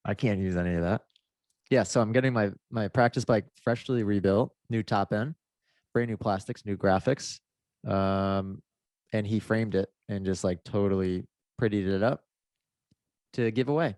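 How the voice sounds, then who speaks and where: A man's voice: on the low side, normal speed, a little mellow, dry recording. A man chatting casually in a podcast, rambling and laid-back with lots of fillers, his nose a little stuffed up. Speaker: motocross racer.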